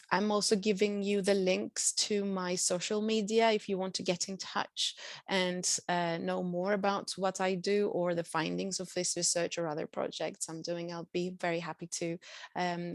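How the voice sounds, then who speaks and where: A woman whose native language is Greek, a university lecturer giving a measured, explanatory presentation over a video call. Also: mid-range voice, gravelly, dry recording, studio quality. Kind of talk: online presentation.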